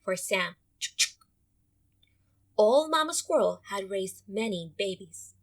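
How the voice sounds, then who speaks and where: A woman's voice: medium pitch, lively intonation, slow. A woman teacher giving an interactive storybook read-aloud, explaining and addressing her young listeners directly.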